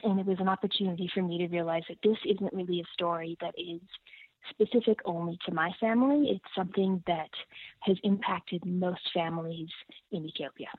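A Canadian woman with a fairly low voice talks about her work as an interview guest, calm and reflective. Her voice comes through a thin, phone-like line that sounds dry and close. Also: fairly quick, husky, dry recording. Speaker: filmmaker guest. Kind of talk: remote interview answers.

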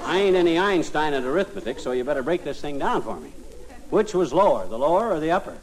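Teasing know-it-all husband for old radio comedy: slangy, folksy, highly expressive, hissy period recording. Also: male voice, fast talker. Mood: needling puzzlement. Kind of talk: 1940s radio comedy acting. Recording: noisy vintage radio recording.

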